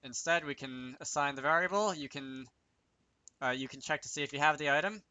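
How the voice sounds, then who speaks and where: A man's voice: a little high, brisk, sharp tone, no room sound. A man hosting a casual programming tutorial, thinking aloud, muttering to himself and correcting himself as he works, close to a desk microphone. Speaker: host of a game programming tutorial.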